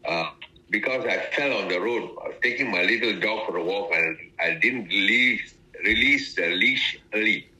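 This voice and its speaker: A man's voice: fairly low pitch, normal speed, pushed and bright, rough recording. A man, a retired ambassador telling stories in a leisurely, good-humoured way during an interview. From Malaysia. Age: 90s. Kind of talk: casual interview reminiscence.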